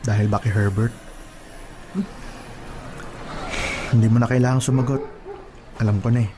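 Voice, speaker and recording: A man playing an earnest, lovesick plumber in an audio drama, pleading in a halting, sentimental way. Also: medium pitch, steady tone, background noise, natural voice. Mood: hurt, pleading. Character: lovesick, earnest plumber suitor, wounded yet patient. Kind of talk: radio-drama style acting.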